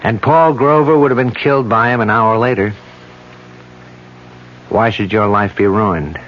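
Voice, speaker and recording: An actor playing a weary police detective and loyal husband in a 1970s radio drama, subdued and low-key. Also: male voice, measured pace, slight background noise, lightly acted. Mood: resigned. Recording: dull lo-fi 1970s radio recording.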